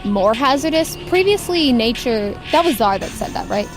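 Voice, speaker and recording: A woman's voice: on the high side, animated, moderate pace, over music. A woman acting as game master, improvising narration in an informal, encouraging, slangy way.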